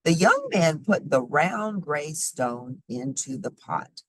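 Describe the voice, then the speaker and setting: Very animated, husky woman reading a storybook. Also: deep voice, slow pace, no echo. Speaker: storybook reader. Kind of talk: expressive children's story narration.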